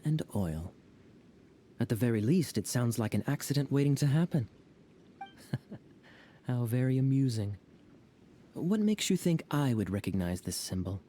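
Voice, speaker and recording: An actor playing a sly, teasing knight captain in a video game, with a smooth, playful manner. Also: male voice, mid-range voice, lively intonation, measured pace, pauses often, mellow, a little hiss or hum, close to an everyday voice.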